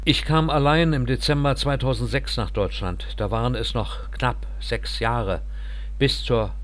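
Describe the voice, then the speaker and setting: A man reading the part of a reserved thirty-one-year-old refugee engineer, telling his story quietly and earnestly. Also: mid-range voice, fairly even intonation, a little slow, close to an everyday voice.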